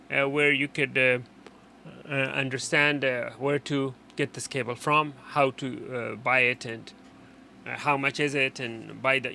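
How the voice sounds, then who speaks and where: A man presenting an unscripted technical how-to, explaining in a halting way and often repeating and rephrasing his instructions. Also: medium pitch, creaky, bright, forceful tone, slight background noise. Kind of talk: unscripted hands-on tutorial explanation.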